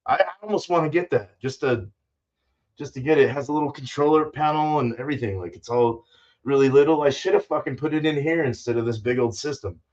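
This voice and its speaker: A man's voice: mid-range voice, fast, darkish tone. Older man, dull lo-fi livestream sound. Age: older, fifties or sixties. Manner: laid-back, salty-mouthed, says dude and man often. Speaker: livestream host, homebrewer. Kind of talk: casual rambling livestream chat. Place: small indoor home brewing room.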